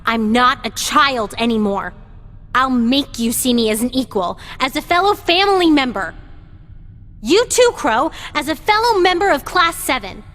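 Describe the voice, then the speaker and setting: A woman's voice: high-pitched, sing-song, normal speed, fairly bright tone. An actress playing a determined young woman in a video game, earnest and assertive, out to prove she has grown up. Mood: earnest, resolute. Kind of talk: English video game dub acting. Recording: echoey hall reverb.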